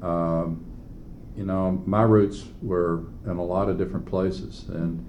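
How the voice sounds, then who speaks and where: A man's voice: low voice, fairly even intonation, takes it slowly, a little mellow, lo-fi sound, a little hiss or hum. An older man with a West Texas accent, giving a reflective oral history interview with wry humor. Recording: roomy echo.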